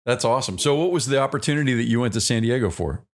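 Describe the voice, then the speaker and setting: A man's voice: medium pitch, lively intonation, racing. A man hosting a casual podcast interview, easygoing, laughing often and restarting his sentences. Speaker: podcast host.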